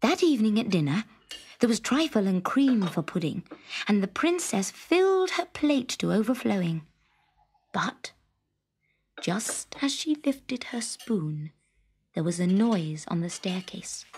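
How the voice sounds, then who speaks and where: A woman narrating a scripted children's story in an expressive, dramatic manner with breathy emphasis. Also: medium pitch, measured pace, a little hiss or hum.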